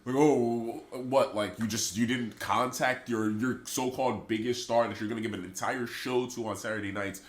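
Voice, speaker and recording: A man's voice: mid-range voice, normal speed, hardly any pauses. A man co-hosting a casual podcast, his voice stuffy and congested from a cold, ranting with strong opinions. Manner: opinionated, animated rants. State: stuffy, sick voice. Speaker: podcast co-host. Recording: echoey room.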